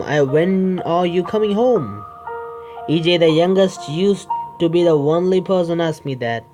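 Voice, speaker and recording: A man doing a game playthrough, reading the narration aloud haltingly and adding his own asides. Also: a little high. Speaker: gaming video creator. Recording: music behind the voice.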